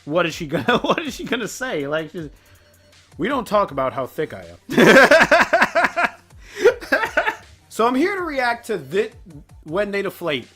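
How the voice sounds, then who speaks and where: A male reaction host with a high voice that swings widely as he talks. He rambles casually and exclaims in an animated way, like someone commenting on a video as it plays. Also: a little slow, long pauses, husky, soft background music.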